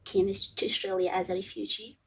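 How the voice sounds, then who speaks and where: A woman's voice: mid-range voice, flat delivery, fairly quick, gravelly. Young woman, slightly distant, noisy. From northern Syria. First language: not English. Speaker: podcast guest and co-host. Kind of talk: casual personal storytelling. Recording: slightly distant mic, background noise.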